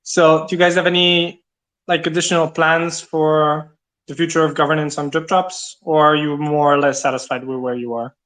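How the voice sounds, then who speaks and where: A man's voice: a little high, level tone, normal speed, a bit bright, low-quality recording. A man from Serbia hosting a casual online audio chat in a conversational, opinionated way. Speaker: host.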